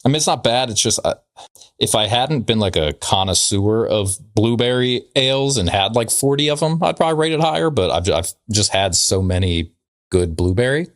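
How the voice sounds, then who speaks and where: A man's voice: fairly low pitch, expressive, normal speed, dry recording, clean studio sound. A man co-hosting a podcast in casual, joking, conversational banter. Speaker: podcast co-host.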